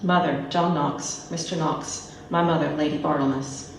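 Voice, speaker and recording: A woman reading the part of a guarded young woman who asks direct questions, in her own low voice, recorded from far off in an echoey room. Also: steady tone, normal speed. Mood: wary, probing. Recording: echoey room, mic far off, faint noise.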